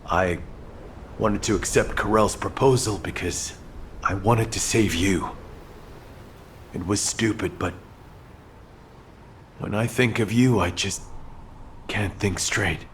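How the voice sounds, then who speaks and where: Game cutscene lead: remorseful, resolute male commander, lowish voice, grave and deliberate. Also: fairly bright tone, a little hiss or hum. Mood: tender, remorseful. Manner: solemn, measured, resolute. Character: earnest, remorseful male commander in a sci-fi war. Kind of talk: video game cutscene acting.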